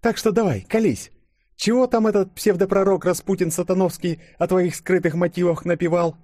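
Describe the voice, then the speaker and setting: A man's voice: high-pitched, steady tone, moderate pace, mellow, dry recording, pitched above the performer's own voice. A man voicing a feisty, bossy young woman who speaks in a snappy, demanding way for an audiobook. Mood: angry, demanding.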